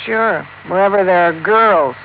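An American actress playing a worldly, guarded, wry nightclub singer in a 1950s radio detective drama. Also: female voice, mid-range voice, unhurried, close to an everyday voice. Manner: casual, world-weary, guarded. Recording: tinny, noisy old radio recording.

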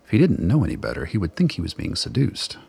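Deep, gravelly male narrator. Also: wildly swooping pitch, quick pace, a little mellow. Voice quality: gravelly, husky. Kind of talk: dramatic scripted storytelling.

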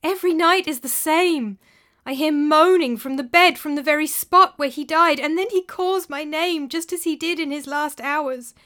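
A woman's voice: normal speed, pushed and bright. A woman voicing a terrified sobbing widow. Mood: insistent. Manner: pleading, sobbing, high and strained. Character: terrified young medieval widow, tearful and desperate.

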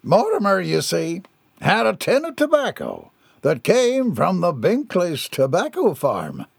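A man voicing a commanding ship's captain who speaks in a grand, deliberate way, as if addressing a crowd. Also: high-pitched, wildly swooping pitch, vocal fry, husky, dry recording, studio quality, pitched above the performer's own voice, heavily acted. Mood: dramatic.

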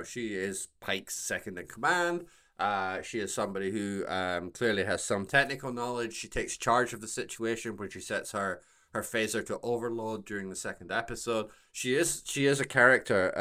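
Low-voiced male podcast host. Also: sharp tone. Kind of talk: casual discussion of a TV show.

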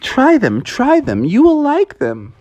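Woman doing a bouncy high cartoonish frog. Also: huge pitch swings, slow, dark tone, heavily acted. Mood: coaxing. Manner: bouncy, sing-song, exclaiming. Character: excitable male frog, fussy and cheerful.

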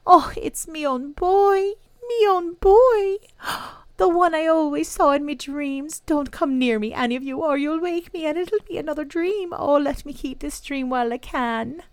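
Woman playing a high-voiced, emotional Irish mother. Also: sing-song, unhurried, hardly any pauses, warm tone, dry recording. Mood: tearful joy.

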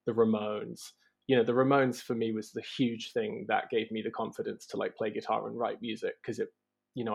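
A man's voice: fairly low pitch, gravelly, a little mellow, slightly rough. A man in a band talking casually in an interview, hesitant and full of ums and likes. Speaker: band member, guitarist.

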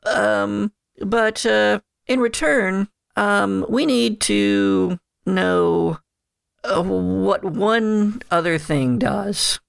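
A slow, deliberate male captor interrogates his prisoner in a gravelly voice, as a man puts him on. He halts and hedges with fillers as he presses for answers. The sound is dry and close. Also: sits high, a bit bright, dry recording, pitched above the performer's own voice, heavily acted. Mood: halting. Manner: hesitant, halting with fillers.

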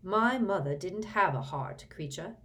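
A cold, impatient grown man, voiced by a woman reader, snapping out curt orders with open disdain. The voice stays close to an everyday reading tone, lively in pitch. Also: unhurried. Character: cold, irritable grown man, master of the house.

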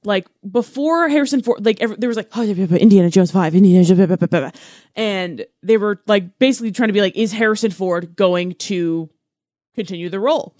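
A woman's voice: mid-range voice, quick pace, mellow, no room sound, studio quality. A woman co-hosting a podcast in casual movie chat, emphatic and opinionated, swearing freely. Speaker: podcast co-host.

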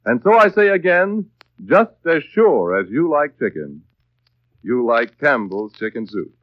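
Male radio pitchman, lively swooping delivery, polished and persuasive, dry muffled 1930s broadcast sound. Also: unhurried, no echo. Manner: polished, emphatic, folksy persuasion. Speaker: radio announcer and sponsor pitchman. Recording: dull vintage 1930s radio recording.

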